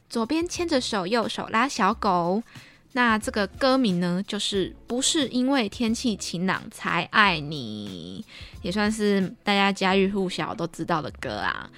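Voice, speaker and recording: A young woman with a Taiwanese Mandarin accent hosting a podcast in a casual, chatty, giggly and self-deprecating way. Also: medium pitch. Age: young adult. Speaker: podcast host. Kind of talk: casual chat. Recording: background music behind the voice. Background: music.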